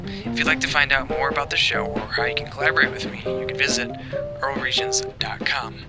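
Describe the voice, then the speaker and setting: Male podcast host over loud music. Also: medium pitch, fairly quick. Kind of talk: podcast outro credits. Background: loud guitar music.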